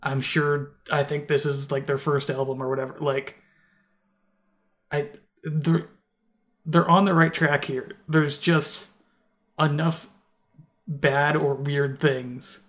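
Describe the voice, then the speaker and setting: A man's voice: on the high side, fairly even intonation, takes it slowly, pauses often, fairly bright tone. A man co-hosting a casual album review podcast, talking in a rambling way with many restarts and fillers. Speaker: podcast co-host.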